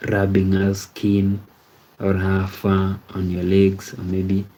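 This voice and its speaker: A man in his thirties hosts a relaxed livestream, talking straight to his viewers. His voice sits low and mellow with a fairly flat, even delivery. He rambles, repeats words and keeps checking in with "you see?". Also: slow pace. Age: 30s. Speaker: livestream host. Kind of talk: casual livestream chat reading viewer comments.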